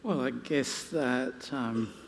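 A man speaking unscripted on a live panel as a naturalist and author, telling anecdotes in an animated, self-deprecating way. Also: mid-range voice, slow pace, gravelly. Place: large indoor hall at a live museum event. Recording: hall reverb, noisy live event.

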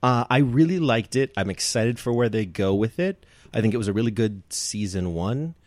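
A man's voice: fairly low pitch, expressive, moderate pace, a little mellow, dry recording. A man co-hosting a podcast in casual conversation, enthusiastic and opinionated about what he likes. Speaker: podcast co-host. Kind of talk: casual group chat about pop culture.

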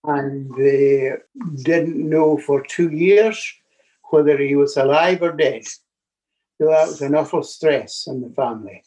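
An older man from Scotland, a guest telling family stories over a video call, speaking in a halting way with many restarts. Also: a little high, dark tone, rough recording.

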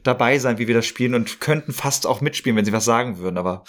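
A man with a voice of medium pitch chats as a podcast guest. He explains things informally, in loose spoken sentences. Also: quick pace. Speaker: interview guest, role-play podcaster. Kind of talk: casual explanatory chat.

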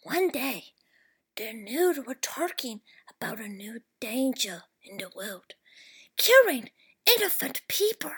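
A paranoid pet dog, voiced by a woman, tells his story in a hushed, heavily breathy whisper. The voice sits high and bright with a gravelly fry underneath, and the telling goes slow and drawn out. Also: full character voice. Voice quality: whispery, creaky. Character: anxious dramatic pet dog, paranoid and brave.

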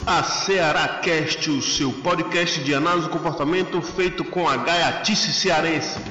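A man's voice: on the high side, measured pace. A man announcing a scripted podcast intro, in a muffled, echoing recording. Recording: muffled, echoey hall, music over it.

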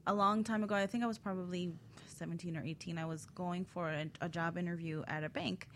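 A woman telling a personal story on a talk show, acting out her own past thoughts in a lively way. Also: lowish voice, quick pace, slight background noise. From Miami. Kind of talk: casual storytelling.

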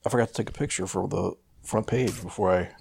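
A man's voice: low-pitched, fast, gravelly, darkish tone, a little hiss or hum. A man co-hosting a podcast in casual comic banter, with a profane, wry manner. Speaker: podcast co-host.

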